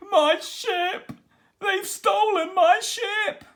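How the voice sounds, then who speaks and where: A blubbering old pirate captain. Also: male voice, a little slow, vocal fry, fairly bright tone, heavily acted. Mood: sobbing. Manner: high, sobbing, theatrical. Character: weepy blustering old male pirate captain.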